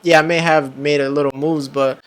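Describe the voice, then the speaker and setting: A man with a slightly high-pitched voice, talking as an interview guest. He is easygoing and agreeable, chatting casually about his music career. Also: flat delivery, fairly quick, clear, resonant tone. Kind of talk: casual chat.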